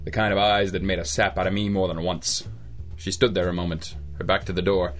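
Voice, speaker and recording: An actor playing a world-weary, wisecracking private detective in an old-time radio noir, with a deadpan, slangy delivery. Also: male voice, deep voice, fairly quick, faint music behind, close to an everyday voice. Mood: wry, smitten.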